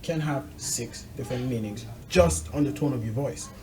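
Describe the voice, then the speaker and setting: A man's voice: medium pitch, moderate pace, mellow, distant mic. Casting: male podcast host, informal and conversational interviewing style. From Jamaica. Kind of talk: casual interview chat.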